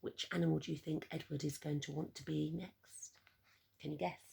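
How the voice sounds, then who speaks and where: Female children's storyteller: lowish, husky voice, unhurried and animated, with encouraging asides to young listeners. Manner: theatrical, encouraging questions to listeners. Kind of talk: expressive picture-book read-aloud with asides to young listeners.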